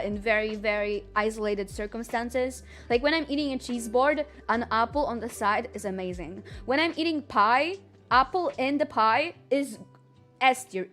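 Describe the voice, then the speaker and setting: A woman's voice: a little high, fairly bright tone. A young woman streaming live, chatting casually with viewers, laughing often and talking earnestly about her rankings.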